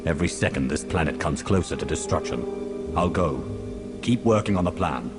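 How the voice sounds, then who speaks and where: A man's voice: rumbling low, expressive, normal speed. A man playing a resolute, commanding lord in a video game, speaking formally and with authority. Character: commanding, resolute lord giving urgent orders. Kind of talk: video game cutscene acting. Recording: clear music underneath.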